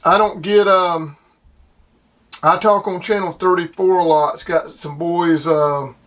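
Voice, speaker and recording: A man's voice: high-pitched, fairly even intonation, measured pace, fairly bright tone. A man talking casually like a CB radio hobbyist, in plain-spoken radio lingo. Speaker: CB radio operator and video host. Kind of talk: casual CB radio chat and commentary. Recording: clearly noisy, with radio hiss and clicks. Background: radio noise and clicks.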